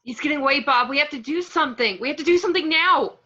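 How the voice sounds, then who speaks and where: A woman's voice: high-pitched, fast, sharp tone. A woman playing an emphatic, protective superhero mother in an amateur table read, over lo-fi online audio. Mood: urgent, panicked. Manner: emphatic, emotive. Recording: dull lo-fi online call audio.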